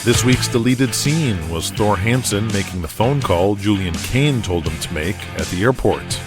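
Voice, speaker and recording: A man's voice: fairly low pitch, animated, moderate pace. A man narrating and hosting a scripted audio drama, with music behind him. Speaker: narrator and show host.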